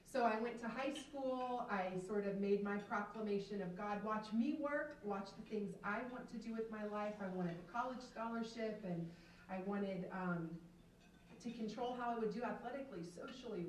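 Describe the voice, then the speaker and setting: A woman's voice: mid-range voice, moderate pace, far from the mic, slight background noise. Woman giving a testimony in an echoey hall. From Atlanta, US South. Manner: earnest, emphatic storytelling. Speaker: keynote speaker. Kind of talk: faith testimony speech. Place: large banquet room with windows. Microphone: handheld microphone.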